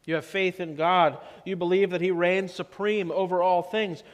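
A man in his thirties preaching a sermon as pastor, slow and measured, often dropping to a softer tone for emphasis. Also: high voice, steady tone. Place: church sanctuary. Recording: long church reverb.

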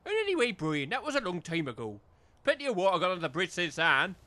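A man's voice: high voice, wildly swooping pitch, quick pace, sharp tone, no echo, exaggerated voice. A man playing a chummy, cajoling village labourer and chancer who speaks in rustic dialect, in a comedy audio drama. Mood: defensive.

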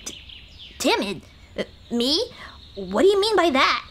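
A timid little goblin girl, played by a woman, speaks in a highish voice that swings expressively up and down. She is kind-hearted and halting, offering gentle comfort in an intimate ASMR roleplay, with birdsong and faint outdoor ambience behind her. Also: big pitch swings, slight background noise, exaggerated voice. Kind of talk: ASMR roleplay, intimate one-sided dialogue. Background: birdsong, nature ambience.

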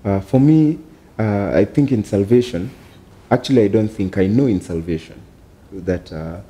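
A man in his thirties on a discussion panel in a small studio, talking calmly and earnestly. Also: fairly low pitch, normal speed. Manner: calm, earnest, laughs easily. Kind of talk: casual discussion. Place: small office studio, indoors. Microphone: lapel mic.

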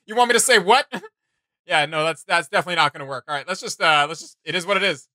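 A man's voice: on the high side, fast, bright, forceful tone, dry recording, studio quality. A young man hosting a reaction video with casual, conversational commentary. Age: young adult. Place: indoor home studio room.

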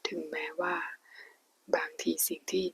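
A woman narrating a novel in a soft, whispering delivery. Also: unhurried, no echo. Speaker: storyteller.

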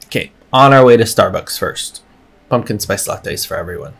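A middle-aged man giving laid-back, joking reaction commentary as a gaming streamer, in a husky, gravelly voice. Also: lively intonation, normal speed, fairly bright tone. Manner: laid-back, low-key talk, joking. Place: indoor room, streaming setup. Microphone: desktop microphone.

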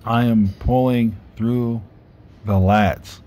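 A man's voice: mid-range voice, steady tone, slow, dark tone. Rambling man vlogging from the gym. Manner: rambling, self-correcting explanations. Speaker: fitness vlogger. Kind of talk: casual gym vlog talk. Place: gym indoors, then outdoors by trees. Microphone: phone camera propped up, then held at arm's length. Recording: faint background noise.

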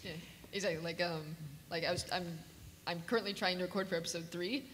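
A woman's voice: on the low side, fairly even intonation, quick pace, fairly bright tone. A woman chatting casually as a panelist in front of a room. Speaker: convention panelist, voice actor. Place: convention panel room with audience. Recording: echoey hall, faint background noise.

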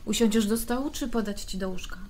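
A woman's voice: a little high, fast talker, a little mellow, slight background noise, natural voice. An actress playing a caring, outspoken woman friend in a naturalistic radio drama, frank and colloquial. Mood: caring, chatty.

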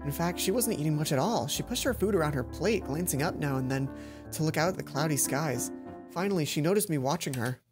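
A man's voice: fairly high pitch, expressive, brisk. A young adult man reading visual novel narration as a let's play host, with casual commentary. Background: game music.

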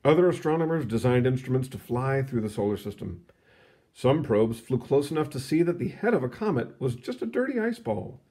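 A man narrates a children's science book with expressive, explanatory delivery. His pitch moves around a lot, lively and varied. The tone is mellow and relaxed, with a husky edge. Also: fairly quick. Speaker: read-aloud narrator. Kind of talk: reading a children's science book aloud, with short asides.

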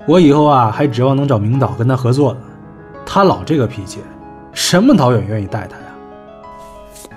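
A male actor plays a scornful, sharp-tongued manager in a radio play. His voice sits at an ordinary male pitch, with a blunt, sarcastic bite. Faint music plays behind him. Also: lively intonation, moderate pace, long pauses, natural voice. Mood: mocking. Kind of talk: Chinese audio drama acting.

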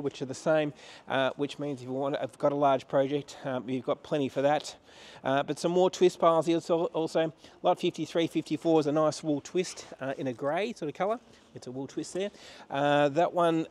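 A man's voice: fairly high pitch, a little mellow. A man giving an unscripted, matter-of-fact sales walkthrough as an auction house presenter, walking about a warehouse.

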